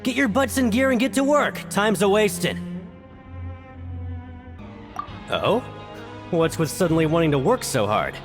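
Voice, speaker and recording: A man's voice: sits high, lively intonation, normal speed, music in the background. A man voicing a brusque, bossy production foreman in a video game, barking and grudging. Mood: bossy, surprised.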